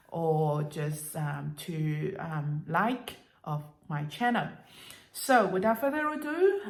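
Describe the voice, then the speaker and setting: A woman whose first languages are Cantonese and Mandarin, talking in a casual, rambling vlog with many pauses and fillers, recorded without any special setup. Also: fairly low pitch. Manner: hesitant, many fillers and restarts. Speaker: language-learning vlogger. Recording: roomy unprocessed home recording.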